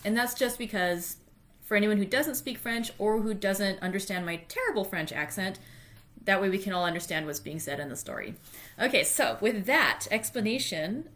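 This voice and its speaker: Female read-aloud host, mid-pitched voice, informal and self-deprecating, able to read literary narration. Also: fairly bright tone. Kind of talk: chatty introduction, then literary audiobook-style reading.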